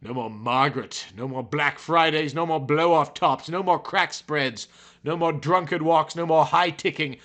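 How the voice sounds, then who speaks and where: Bright, theatrical male narrator. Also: a little high, moderate pace. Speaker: narrator reading a fiction chapter. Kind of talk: dramatic, overwrought scripted narration.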